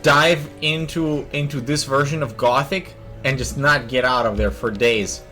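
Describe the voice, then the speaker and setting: A man's voice: mid-range voice, fairly even intonation, unhurried, bright, forceful tone. A young man casually commenting on a video game as he plays, thinking aloud and joking. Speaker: streamer. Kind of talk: gaming commentary. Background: faint game music.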